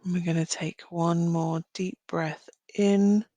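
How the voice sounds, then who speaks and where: A woman leading a guided visualisation as a meditation guide, speaking gently in short phrases with long pauses. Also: fairly low pitch, steady tone, dry recording. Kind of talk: guided visualisation, slow soothing narration.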